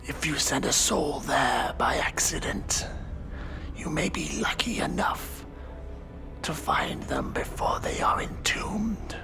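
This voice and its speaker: A performer whispering as a dry, weary demon judge of hell who instructs a trainee in a measured, deadpan horror ASMR role-play. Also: medium pitch. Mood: solemn, dry. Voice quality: breathy creaky whisper. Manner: slow, measured, deliberate, deadpan. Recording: music behind close whispered voice. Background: music.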